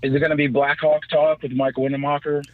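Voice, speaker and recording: A man's voice: on the high side, flat delivery, fairly quick, no echo. A man calling a radio show and chatting casually by telephone. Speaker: radio phone-in caller. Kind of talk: casual phone chat. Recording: dull band-limited phone line.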